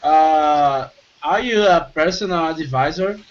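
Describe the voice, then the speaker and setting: Slow-talking Brazilian man with an accent. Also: a little high. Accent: Brazilian Portuguese. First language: Portuguese. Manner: slow, hesitant, filler-heavy. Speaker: student. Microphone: video call. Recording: dull call audio with faint noise.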